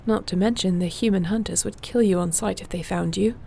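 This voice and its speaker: A woman playing a gentle, wry warrior shifter with healing magic in an intimate fantasy audio roleplay, speaking softly and soothingly without hurry. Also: mid-range voice, a little fast, creaky, slight background noise, close to an everyday voice. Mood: tender, concerned.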